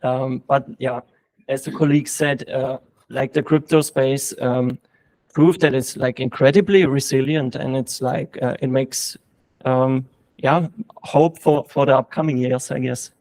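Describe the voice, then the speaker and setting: A man's voice: mid-range voice, steady tone, unhurried, darkish tone, low-quality recording. A man speaking as a panelist, hesitant with many fillers. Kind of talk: panel discussion.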